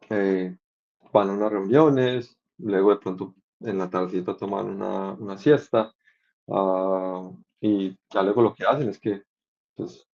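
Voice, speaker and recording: A man's voice: on the low side, creaky, a little mellow, lo-fi sound. A man giving an informal, explanatory career talk, conversational and mixing English tech words into his speech. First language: Spanish. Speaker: senior software engineer giving a talk.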